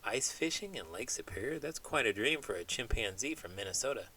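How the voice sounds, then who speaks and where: A man's voice: medium pitch, expressive, brisk, sharp tone, a little hiss or hum. A man reading aloud to children as a host, with dramatic, emphatic storytelling delivery. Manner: dramatic, emphatic phrasing with big emotions. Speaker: children's book reader and host, elementary school teacher.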